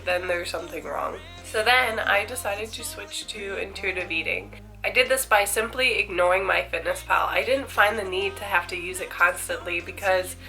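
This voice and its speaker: A woman's voice: mid-range voice, sharp tone. A young woman with a congested voice from a cold, chatting candidly to camera about her fitness routine. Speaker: fitness vlogger. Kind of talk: casual chat to camera.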